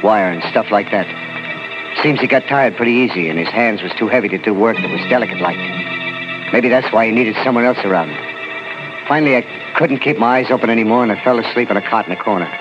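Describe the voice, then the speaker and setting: An actor playing a frightened, submissive, uneducated working man with a halting, folksy, ungrammatical way of talking in a 1940s radio horror drama. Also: lowish voice, close to an everyday voice. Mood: shaken recounting. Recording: dull old 1940s radio recording, music.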